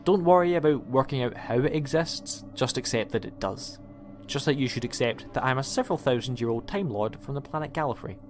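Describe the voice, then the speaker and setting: Casting: a man as eccentric alien adventurer, mid-pitched, breezy and flippant, audio drama over light music. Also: lively intonation, brisk, a little mellow, close to an everyday voice.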